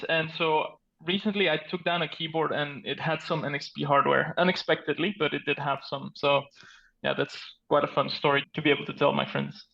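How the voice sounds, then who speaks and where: A man's voice: a little high, gravelly, a bit bright, dull audio. A man from Belgium, a guest on a tech podcast, explaining things in an earnest way with frequent "so" and "basically". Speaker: podcast guest, security architect. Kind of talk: casual technical interview.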